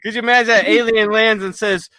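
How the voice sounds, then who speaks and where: A male podcast host with a fairly high, very bright voice chats casually and playfully. He likes to banter and joke, and the sound is close and dry. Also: fairly even intonation, fast, no room sound. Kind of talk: casual chat.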